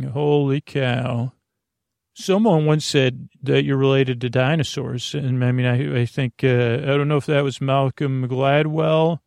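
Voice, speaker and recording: Man, creaky fry, medium pitch, dry sound, bedtime podcast host, halting, meandering, whimsical, soothing. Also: a little mellow, no echo. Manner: meandering, halting, self-interrupting. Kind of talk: rambling bedtime monologue.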